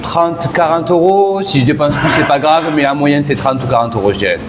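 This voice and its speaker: A young man from the south of France answers interview questions with relaxed enthusiasm, pausing often to find his words. His voice rings with a long, echoey reverb, and clear outdoor city noise runs behind him. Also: fairly high pitch. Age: 20s. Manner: relaxed, enthusiastic, many hesitations. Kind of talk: casual street interview.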